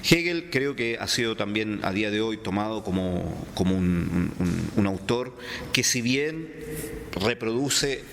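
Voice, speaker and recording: Chilean man, low-pitched, halting academic talk, reverberant room, faint hiss. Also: expressive, vocal fry, a bit bright. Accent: Chilean Spanish. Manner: hesitant, many fillers and restarts. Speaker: philosopher guest. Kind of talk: academic interview answers.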